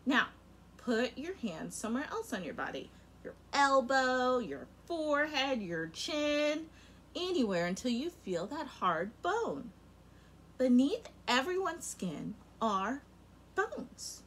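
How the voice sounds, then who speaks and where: A young woman teacher reading a picture book aloud to kindergarten children, very expressive, her loudness rising and falling sharply. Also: sits high, slow pace, a little hiss or hum. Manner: expressive and animated, with big swings in loudness. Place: home room in front of a rainbow feather backdrop.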